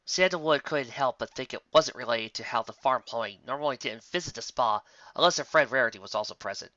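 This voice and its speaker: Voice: mid-range voice, brisk, sharp tone, no echo. A narrator reading scripted story prose aloud with a dramatic storytelling manner. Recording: slightly muffled close dry recording.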